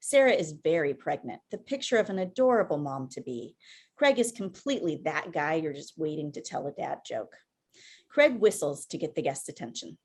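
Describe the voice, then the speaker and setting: A woman narrates a screenplay's stage directions. Her pitch is a little low, and the delivery is scripted reading over a video call. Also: big pitch swings, normal speed, darkish tone, slightly rough. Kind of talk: scripted narration at a table read.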